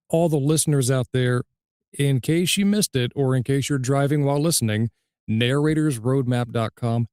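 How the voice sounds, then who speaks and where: A man's voice: mid-range voice, a little mellow, dry recording, studio quality. A man hosting a podcast interview, speaking conversationally in fluent, orderly phrases. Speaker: podcast host, audiobook narrator.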